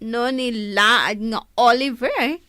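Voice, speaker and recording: Actress as insolent, taunting young wife, family drama; bright, piercing delivery, close dry recording. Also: female voice, fairly high pitch, dry recording. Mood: taunting, defiant. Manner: mocking, insolent, drawn-out questions.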